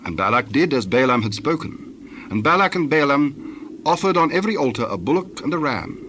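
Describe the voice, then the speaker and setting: Male narrator for audio Bible: medium pitch, scripted storytelling, faint ambient background. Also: very animated, fairly quick, fairly bright tone, slight background noise.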